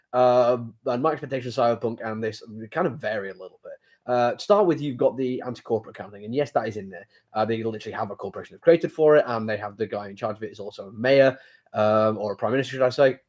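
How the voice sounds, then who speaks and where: A man's voice: mid-range voice, a little mellow. A man with a cold and a sore throat, casually reviewing a comic book. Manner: rambling, hesitant with many fillers. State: has a cold, sore throat, blocked sinuses.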